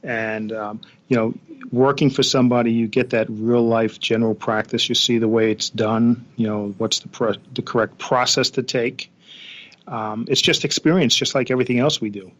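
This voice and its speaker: A middle-aged man, answering casually as an interview guest in a plainspoken, halting way. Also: medium pitch, fairly even intonation, a bit bright. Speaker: interview guest, accountant and former police officer. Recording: dull, lo-fi remote connection.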